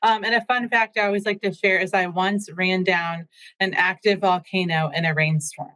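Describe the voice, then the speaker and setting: Brief: woman guest, lowish voice, calm and matter-of-fact. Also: moderate pace. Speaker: nun, former teacher. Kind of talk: casual interview chat.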